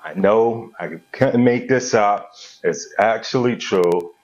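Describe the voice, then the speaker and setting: A man with a low voice hosts a casual interview, relaxed and unhurried. He nods along with short replies. The recording has a dull, lo-fi sound. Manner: laid-back, slow, encouraging with frequent "right". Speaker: podcast host. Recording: dull lo-fi audio.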